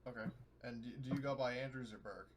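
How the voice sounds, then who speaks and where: A man's voice: mid-range voice, fast. A man chatting casually as a player in a tabletop roleplaying game, informally narrating what his character does. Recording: noisy audio with clicks.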